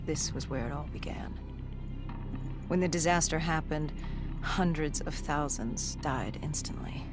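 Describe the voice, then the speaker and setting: An actor voicing a grim, augmented soldier in a video game cutscene, narrating in a somber, measured, clipped way with a breathy, gravelly edge. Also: medium pitch, music in the background, close to an everyday voice. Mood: grim, reflective. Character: grim cybernetically augmented soldier recounting a past disaster.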